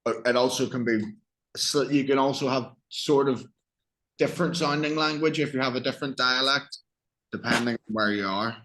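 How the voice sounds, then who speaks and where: A man's voice: mid-range voice, normal speed, fairly bright tone, rough recording. A man with a Northern Irish accent teaching English one-on-one, explaining patiently with examples and questions. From Northern Ireland.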